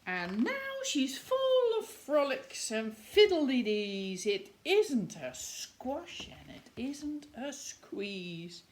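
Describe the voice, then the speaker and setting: A woman reading a picture book aloud to young children, expressive, with questions and asides to her listeners. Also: high voice, extremely slow, few pauses, a little hiss or hum. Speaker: children's story reader.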